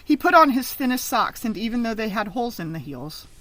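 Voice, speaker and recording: A woman reading a children's story aloud in an expressive, teacherly narrating style. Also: a little high, brisk, a little hiss or hum, low-quality recording.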